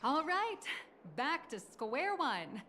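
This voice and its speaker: A woman's voice: high voice, big pitch swings, takes it slowly, sharp tone, a little hiss or hum, heavily acted. An actress voicing a polite, businesslike corporate guide woman in a video game, explaining and promoting her company's work. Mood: brisk pitch.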